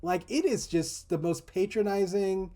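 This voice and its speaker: A man's voice: high-pitched, a little slow, mellow. A man hosting a discussion, reading an article aloud and adding mocking, incredulous commentary.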